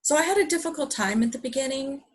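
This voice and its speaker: A woman's voice: sits high, fast talker. A woman from Hawaii giving an earnest, enthusiastic motivational presentation, often asking her listeners rhetorical questions. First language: English. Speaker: business presenter.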